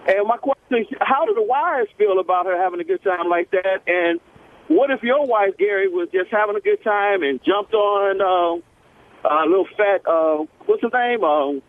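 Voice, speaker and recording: A man's voice: high-pitched, measured pace. A man chatting casually as a caller to a radio show, over a lo-fi phone connection. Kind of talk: casual chat. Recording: dull lo-fi phone call.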